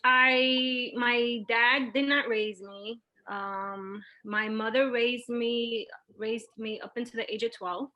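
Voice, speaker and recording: A woman's voice: on the high side, level tone, takes it slowly, few pauses, pushed and bright, highs cut off. A middle-aged woman, telling her personal story as an interview guest in a candid, informal way. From Puerto Rico and Brooklyn, New York.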